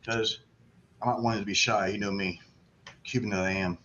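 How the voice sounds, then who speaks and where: Brash, sharp-voiced man on noisy lo-fi call. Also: lowish voice, fairly even intonation, fairly quick. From Florida. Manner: brash, self-deprecating, joking. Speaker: guest on an online networking livestream. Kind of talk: casual chat. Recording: dull lo-fi webcam audio, loud background noise.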